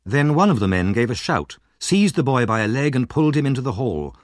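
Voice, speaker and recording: A male audiobook narrator reads a story with a very expressive, moving pitch. The delivery is measured and dramatic, recorded dry and close. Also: no echo.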